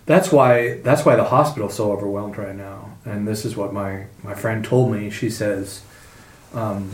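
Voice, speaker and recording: A man in his fifties co-hosting a podcast in casual chat, easygoing and quick to laugh. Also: on the low side. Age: 50s. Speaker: podcast co-host. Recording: roomy room sound.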